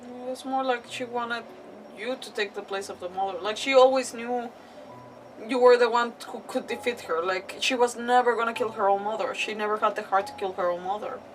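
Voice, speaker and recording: High-voiced woman streamer, slightly distant. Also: a little hiss or hum. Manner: halting, emotional, searching for words. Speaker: game streamer. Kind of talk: casual live gameplay reaction commentary.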